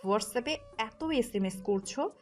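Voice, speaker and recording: A woman's voice: fairly high pitch, animated, slow. A woman playing a wounded, jealous wife who scolds and accuses sharply in an audio story. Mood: jealous, accusing. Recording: music under the voice.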